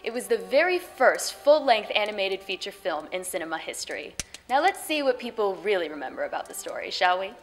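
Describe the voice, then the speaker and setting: A woman's voice: medium pitch, very animated, brisk. A woman hosting and narrating fairy tales, addressing viewers in a playful, theatrical way. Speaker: host of a fairy tale web show. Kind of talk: scripted storytelling narration to viewers.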